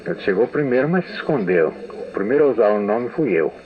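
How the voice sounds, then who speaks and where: Deep-voiced older Brazilian man reminiscing. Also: sing-song, a little fast. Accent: Brazilian Portuguese. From São Paulo state, Brazil. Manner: meandering, reminiscing storyteller who often restarts sentences. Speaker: interviewed writer. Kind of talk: archival radio testimony. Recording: narrow, phone-like old radio archive.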